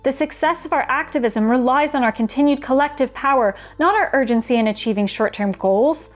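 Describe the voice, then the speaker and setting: A woman reading scripted explanatory narration in a measured, formal and earnest way. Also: high-pitched. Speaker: guest contributor on activism.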